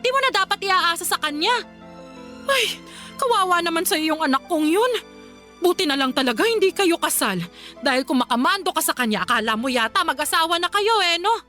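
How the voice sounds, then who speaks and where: A woman's voice: very high voice, animated, brisk, pushed and bright, soft background music, full character voice. A woman playing an angry, overbearing older Filipino mother who nags and scolds sarcastically in a radio drama. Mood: indignant, scolding. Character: angry, overbearing, nagging mother of a grown son.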